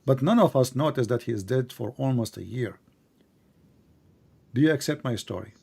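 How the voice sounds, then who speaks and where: Dark-voiced man, teasing debater. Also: mid-range voice, expressive, normal speed, gravelly, slightly rough. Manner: teasing, sarcastic, questioning in plain broken English. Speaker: debate host. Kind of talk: live online debate, conversational.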